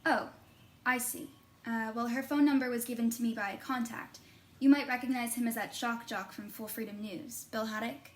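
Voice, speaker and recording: Actress as high-voiced earnest woman reporter. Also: fairly even intonation, normal speed, close to an everyday voice. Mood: flustered, helpful. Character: earnest, determined female investigative reporter, easily flustered. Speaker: actor and narrator in an audio drama. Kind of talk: podcast-style narration and naturalistic drama dialogue.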